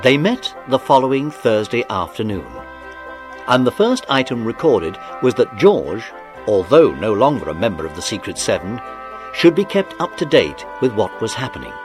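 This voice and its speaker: A man's voice: medium pitch, sing-song, moderate pace, music in the background. A man narrating a scripted children's story as the narrator of an audio drama.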